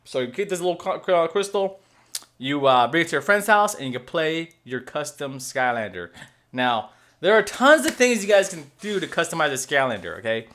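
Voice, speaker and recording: A man in his thirties talks straight into a desk webcam in his room at home, gesturing as he goes. His voice sits on the higher side for a man. He rambles through fillers and restarts and keeps checking in with "okay?". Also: very animated, moderate pace, fairly bright tone. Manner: waves his hands while talking. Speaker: gaming video host. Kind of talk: casual explainer to viewers.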